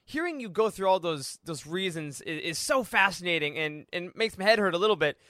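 A man's voice: high voice, animated, fairly quick, fairly bright tone, no echo, clean studio sound. A man hosting a podcast, chatting casually in an enthusiastic, talkative way. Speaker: podcast host. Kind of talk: casual interview chat.

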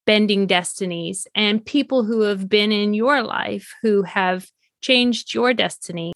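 A woman with a mid-range voice hosts a podcast and asks her guest measured, affirming questions. Her voice sounds dry and close to the mic. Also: mid-range voice, no echo. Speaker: podcast host. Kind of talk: interview questions.

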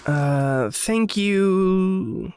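A man's voice: high voice, lively intonation, very slow, a little mellow, faint music behind, heavily acted. An actor playing a shy, polite young man who speaks hesitantly and apologetically in an audio drama. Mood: tentative.

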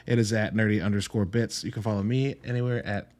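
A man's voice: on the low side, steady tone, quick pace, a little mellow. A man hosting a solo podcast in a casual, enthusiastic and emphatic way, with informal language and wry asides. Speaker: podcast host reviewing films.

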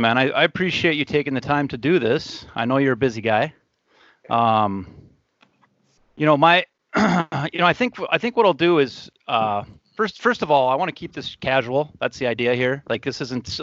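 Guy hosting a laid-back podcast chat, earnest, informal, average pitch, dry sound with no room on it. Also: fairly bright tone, no echo, lo-fi sound. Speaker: podcast host. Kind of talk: casual podcast conversation.

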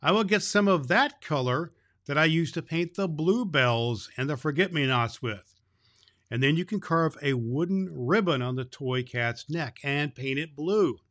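A man's voice: a little high, animated, unhurried, fairly bright tone. A man voicing helpful little flower elves in a children's story, eager and sing-song.

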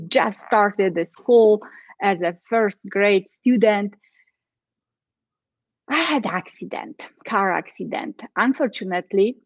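A woman's voice: mid-range voice, no room sound, highs cut off. A woman from Poland with a Polish accent, a coach who tells her own story earnestly and with feeling in a podcast chat. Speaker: guest, change and transition coach.

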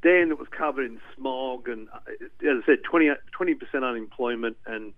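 A middle-aged man with an Australian accent, from Newcastle, talking as an interview guest, animated and rambling with restarts. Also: mid-range voice, brisk. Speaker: guest, documentary director. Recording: tinny phone line.

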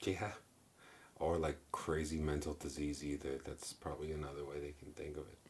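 A man's voice: very deep voice, flat delivery, normal speed, slight background noise. A young man co-hosting a casual podcast, riffing with crude jokes. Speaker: podcast co-host. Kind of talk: casual chat.

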